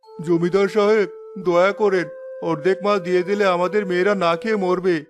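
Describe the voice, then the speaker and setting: Man as a high-voiced desperate poor fisherman. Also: steady tone, fairly quick, over music, natural voice. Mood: pleading. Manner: pleading, anguished. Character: desperate poor village fisherman and father, pleading. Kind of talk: dramatic cartoon voice acting.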